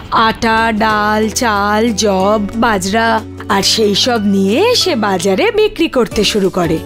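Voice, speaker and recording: A female storyteller narrates a cartoon fairy tale from a script. Her voice is fairly high and animated in its intonation. Gentle music plays faintly behind her.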